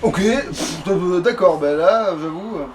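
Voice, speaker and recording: Higher-pitched man gaming, distant noisy mic. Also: measured pace. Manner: informal, swearing. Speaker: let's player. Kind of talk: casual gameplay commentary. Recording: distant mic, clear background noise.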